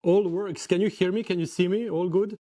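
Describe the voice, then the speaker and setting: A man's voice: high voice, fairly even intonation, brisk, mellow, no room sound, clean studio sound. A man from Serbia who teaches poodle grooming, giving brief, friendly replies as a guest on a video call. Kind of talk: casual video call chat.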